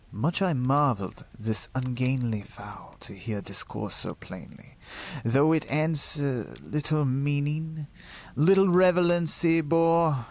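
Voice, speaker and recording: A man giving a dramatic recitation of dark poetry, theatrical in manner, letting line endings fall to a hush. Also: on the high side. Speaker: reader on a horror story podcast.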